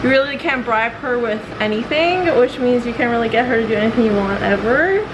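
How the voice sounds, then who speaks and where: A woman's voice: on the high side, brisk, dull audio, background noise. A woman chatting casually in a vlog, rambling along in a self-deprecating way. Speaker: vlogger.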